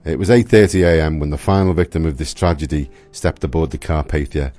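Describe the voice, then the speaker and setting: A man narrating a radio drama from a script in a solemn, measured manner. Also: a bass voice, warm tone, soft background music.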